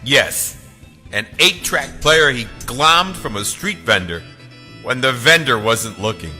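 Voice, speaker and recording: A man reading his own novel as a theatrical, emphatic audiobook narrator, with dramatic pauses. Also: medium pitch, very animated, bright, forceful tone. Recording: long reverb, faint music behind.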